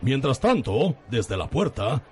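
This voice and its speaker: A man's voice: medium pitch, big pitch swings, slow, darkish tone, husky, soft background music. A man with a Spain accent hosting and narrating a parody radio soap in dramatic scripted style. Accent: Spanish (Spain). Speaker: radio show host and narrator.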